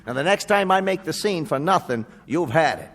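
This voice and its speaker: A man's voice: a little high, expressive, brisk, a bit bright, soft background music. An actor playing a grumpy male genie who talks in sarcastic beatnik slang, in a radio drama. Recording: long hall reverb.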